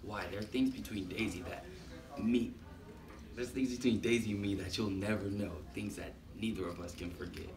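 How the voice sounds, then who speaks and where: A man's voice: lowish voice, fairly even intonation, moderate pace, darkish tone, lightly acted. A man playing a blustering jealous husband. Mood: indignant. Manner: blustering, indignant. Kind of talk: amateur puppet show reading. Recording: roomy, from far off.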